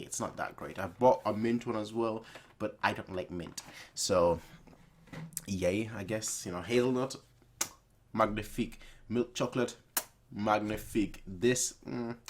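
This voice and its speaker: Low-voiced young man, casual chat. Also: fairly bright tone, a little hiss or hum. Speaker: host of a reaction video channel.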